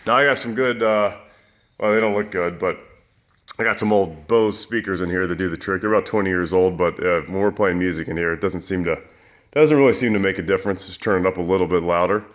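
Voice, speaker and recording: A man in his home basement gym talks through a fan review in a loud, easygoing voice that sits a bit low. He rambles, restarts sentences and fills gaps with ums and uhs. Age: adult with children. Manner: rambling, folksy, many fillers and restarts. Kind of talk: casual product review vlog.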